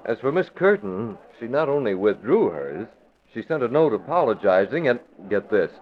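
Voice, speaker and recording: An actor playing a composed, authoritative newspaper publisher with a wry, assured manner in an old radio drama. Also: male voice, mid-range voice, big pitch swings, normal speed, vocal fry. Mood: wry satisfaction. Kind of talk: 1940s radio acting. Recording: tinny narrow-band old radio recording.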